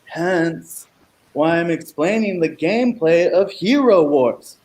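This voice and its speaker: A man's voice: fairly high pitch, expressive, slow, darkish tone. A middle-aged man playing an irresponsible, game-obsessed stoner superhero in a comedy radio play over a video call. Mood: unbothered. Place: room at home, video call.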